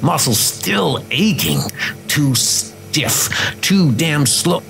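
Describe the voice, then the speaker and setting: A man's voice: fairly high pitch, animated, extremely slow, vocal fry, over music, pitched above the performer's own voice, heavily acted. A man voicing a weary, menacing, ageless assassin who speaks tersely and broodingly in a comic dub. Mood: grim, strained.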